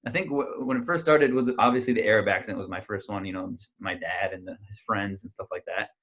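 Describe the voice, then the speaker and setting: Animated young male comedian. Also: on the low side, quick pace. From United States. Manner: animated storyteller, many fillers and restarts. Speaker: stand-up comedian guest. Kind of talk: casual interview chat. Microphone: video call.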